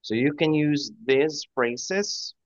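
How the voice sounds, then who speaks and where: A man's voice: fairly high pitch, slow, no room sound. A male English tutor speaks patiently over a video call, feeding his student example phrases and encouragement. The audio is dull and lo-fi, with a dry, close sound. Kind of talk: one-on-one conversation lesson.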